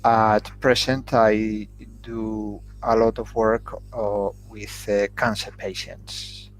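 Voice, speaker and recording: A man answering interview questions as a shiatsu therapist, speaking slowly and haltingly with frequent "uh" pauses. His voice sits fairly low. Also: level tone, soft background music, poor audio. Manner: slow and hesitant, many "uh" fillers.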